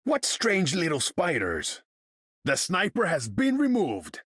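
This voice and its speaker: A man's voice: a little high, wildly swooping pitch, slow pace, a bit bright, husky, no echo, clean studio sound, full character voice. An actor playing a proud, haughty sea king warrior, speaking in a regal, pompous, theatrical manner as a video game character. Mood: haughty, commanding. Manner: regal, pompous, theatrical declamation in formal speech.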